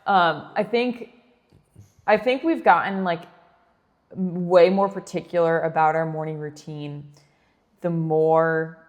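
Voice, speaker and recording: Woman co-host, thirties, low voice, chatty and laughing, roomy reverb. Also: steady tone, takes it slowly, long pauses, dull audio. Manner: chatty, laughs often, many fillers. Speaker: podcast co-host. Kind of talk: casual chat.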